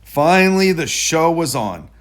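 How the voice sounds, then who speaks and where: A man reading a children's story aloud in an expressive storytelling style. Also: a little high, unhurried. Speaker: storybook reader.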